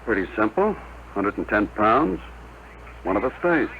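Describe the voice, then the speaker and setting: Muffled old radio, an older general, very animated, emphatic fifties sci-fi drama style. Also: male voice, on the low side, measured pace, slight background noise. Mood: resolute. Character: older male general, impassioned and self-sacrificing. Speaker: radio actor. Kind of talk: 1950s radio drama acting.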